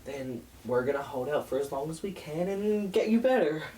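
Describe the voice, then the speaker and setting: A man's voice: fairly high pitch, lively intonation, moderate pace, warm tone, lightly acted. A man playing a restless, evasive but loyal teenage brother in a radio play. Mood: worried. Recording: roomy echo, faint background hiss.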